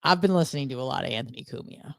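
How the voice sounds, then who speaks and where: A woman's voice: a bass voice, rapid-fire, vocal fry, fairly bright tone, no echo, clean studio sound. An American woman co-hosting a casual radio talk show, chatting in a candid, gossipy, opinionated way. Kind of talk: casual opinionated podcast chat, venting.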